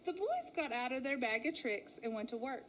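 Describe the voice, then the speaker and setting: A woman's voice: sits high, very animated, brisk, a little hiss or hum. A woman narrating a children's story aloud in a theatrical, playful way. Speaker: storybook reader at a school.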